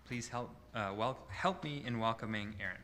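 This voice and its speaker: A man's voice: mid-range voice, normal speed, heavy background noise. A man introducing the next speaker at a library talk, reading plainly with a few "uh"s and a stumble. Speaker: event host introducing the speaker. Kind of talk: formal introduction. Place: library presentation room. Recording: reverberant hall with faint ambience.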